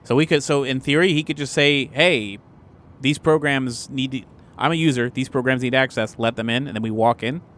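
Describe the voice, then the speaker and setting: A man's voice: mid-range voice, fairly quick, fairly bright tone. A man chatting casually as a player in a tabletop role-playing game, working out plans. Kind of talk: casual game planning chat.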